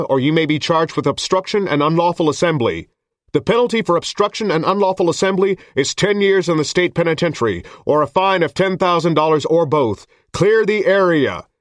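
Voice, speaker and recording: A stern police officer barking loud, clipped orders through a bullhorn, as a male narrator acts him out. The voice rises well above the reader's own low tone. The sound is close and dry. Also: no room sound, full character voice.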